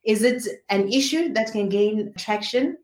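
A woman journalist asking probing interview questions in a measured way. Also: mid-range voice, lively intonation, darkish tone, echoey room.

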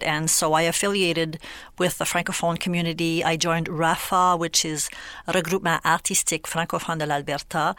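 Woman poet, unhurried. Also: deep voice, a bit bright, no echo. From Western Canada. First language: French. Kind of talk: radio interview and poetry reading.

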